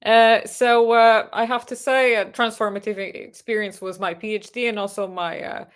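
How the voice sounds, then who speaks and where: A woman in her thirties from Portugal, a neurosurgery registrar and lecturer, giving a webinar talk in English with a Portuguese accent, often pausing on fillers and restarting phrases. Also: on the high side, fairly even intonation, clean tone. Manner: hesitant, many fillers and restarts, earnest.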